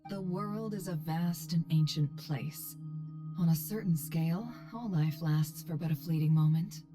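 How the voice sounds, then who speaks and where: An actress playing a calm, wise woman in a video game, speaking in a measured, reflective way. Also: sits low, music in the background. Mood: composed.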